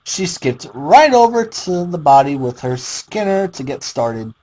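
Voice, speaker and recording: A man reading fan fiction as a theatrical, deliberate dramatic narration. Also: fairly high pitch, big pitch swings, darkish tone, poor audio.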